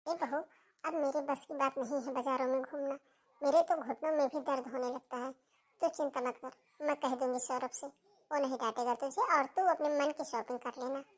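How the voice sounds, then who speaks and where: A woman's voice: high-pitched, steady tone, moderate pace, natural voice. Woman voicing a fussy older mother-in-law. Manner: scolding, homely talk. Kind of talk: cartoon voice acting.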